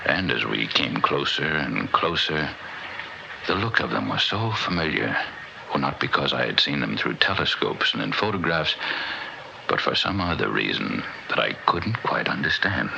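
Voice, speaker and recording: An actor playing an older, haunted, philosophical scientist in a 1960s radio drama, gravelly and portentous in delivery. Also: male voice, rumbling low, very animated, full character voice. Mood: haunted, intense. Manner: portentous, deliberate, dramatic storytelling. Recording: dull, noisy old radio recording.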